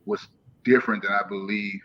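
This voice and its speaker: Bright, low-voiced American man in his sixties. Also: steady tone, a little fast. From Detroit, Michigan, USA. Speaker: panel guest, father. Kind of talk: conversational personal account. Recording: dull lo-fi line with clear background noise.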